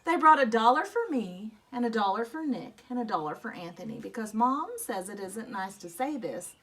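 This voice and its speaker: A woman reading a children's picture book aloud expressively, telling it as a young boy, with dramatic emphasis and drawn-out rueful phrases. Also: a little high, lively intonation, fairly bright tone. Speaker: storybook reader. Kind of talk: expressive read-aloud of a children's story in a young boy's first person.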